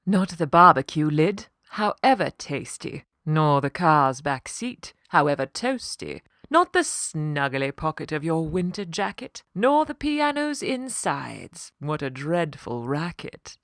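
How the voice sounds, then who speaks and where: A woman's voice: low voice, sing-song, slow, few pauses, fairly bright tone, no echo, studio quality, full character voice. A woman voicing a canny, stern yet kind female cat with a grand, firm manner for a children's storybook. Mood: businesslike, poised.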